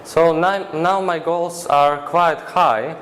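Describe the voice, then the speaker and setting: A man's voice: a little high, steady tone, a bit bright, slight background noise, poor audio. Earnest Croatian-accented teenage boy. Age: teens. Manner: earnest, measured, halting non-native phrasing. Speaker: interview guest. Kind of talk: interview answers.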